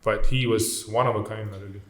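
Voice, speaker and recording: A man's voice: on the low side, steady tone, a little fast. A man chatting casually about football as an exclaiming fan and podcast co-host. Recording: echoey room.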